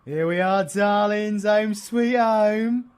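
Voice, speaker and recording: A man's voice: high voice, takes it slowly, a little hiss or hum. A British man playing a cheeky, flamboyant supervillain in an audio roleplay, with a slangy, theatrical manner. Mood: playful, triumphant. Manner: theatrical, slangy, swinging from soft to shouting. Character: cheeky, flamboyant young male supervillain, affectionate and chaotic.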